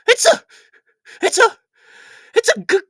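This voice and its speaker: A man voicing an excitable, easily frightened female talking plant, with shrieks and stammers, in a children's story. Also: unhurried, no room sound, clean studio sound, natural voice. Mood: terrified.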